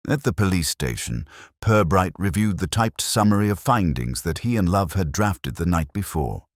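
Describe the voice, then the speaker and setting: A man narrates a detective mystery in an extremely low voice. His delivery is measured and literary, with lively movement in the pitch. The sound is close and dry. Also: dry recording. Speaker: story narrator.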